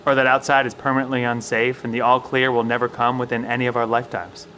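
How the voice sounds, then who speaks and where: Actor as earnest bunker professor, male, mid-pitched, measured and explanatory, faint background noise. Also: level tone, bright, forceful tone, natural voice. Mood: cautiously hopeful. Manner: explanatory, hesitant, reasoning. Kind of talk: audio drama acting.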